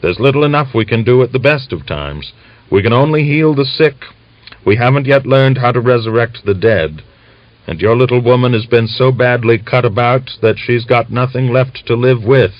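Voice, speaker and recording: A man's voice: medium pitch, normal speed, dry recording, voice pushed up, natural voice. Casting: man doing a tired frank doctor, raised and earnest, dull dry sound. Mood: blunt. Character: weary country doctor, blunt. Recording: dull lo-fi audiobook recording.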